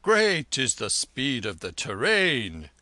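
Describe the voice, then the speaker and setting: A man reading aloud, voicing a boastful, patronising middle-aged banker who speaks loudly and proudly. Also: medium pitch, big pitch swings, slow pace, dry recording, heavily acted.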